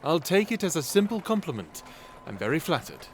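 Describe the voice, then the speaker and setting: A man's voice: on the high side, sing-song, brisk, slight background noise. Man playing a nervous, polite young captive. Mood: eager to please. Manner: stammering when cowed, courteous. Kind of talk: dark comedy audio drama acting.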